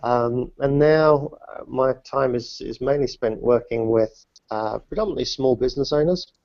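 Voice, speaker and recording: A man's voice: medium pitch, normal speed, a little mellow, dry recording. Man, thirties, from the UK, stop-start speech, dull dry remote audio. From New Zealand, grew up in the UK. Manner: hesitant, many restarts and fillers, self-deprecating. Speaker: guest entrepreneur. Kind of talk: casual interview answers. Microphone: remote call, dull lo-fi and dry.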